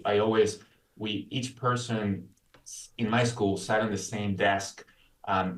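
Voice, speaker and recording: A low-pitched man, recorded in a roomy space away from the microphone. He tells personal stories casually as an interview guest, often restarting his phrases. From Caracas, Venezuela. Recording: roomy echo, distant from mic.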